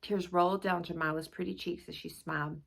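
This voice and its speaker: A woman hosting a read-aloud video, with an expressive, informal and playful way of talking. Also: fairly low pitch, brisk, gravelly, breathy edge. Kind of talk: expressive children's storybook narration and casual chat to viewers.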